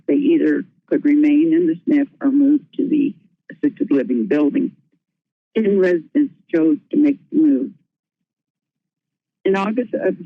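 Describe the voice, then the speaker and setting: A woman's voice: sits low, creaky, no echo. A woman giving a prepared presentation as a nursing home administrator, earnest and careful, with small restarts. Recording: tinny phone-line audio.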